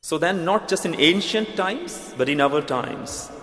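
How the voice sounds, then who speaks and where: A man with a thick Indian accent preaching an earnest, measured sermon, now and then restarting words. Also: a little high, animated, fairly bright tone, soft background music. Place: large church hall. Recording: echoey church hall with long reverb.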